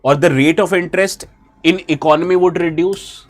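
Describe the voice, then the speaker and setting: A man's voice: on the high side, fairly even intonation, moderate pace. Indian man teaching with a cold. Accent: Indian English. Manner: asks students questions, switches briefly into Hindi. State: has a bad cold. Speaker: exam prep teacher. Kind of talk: live online lecture.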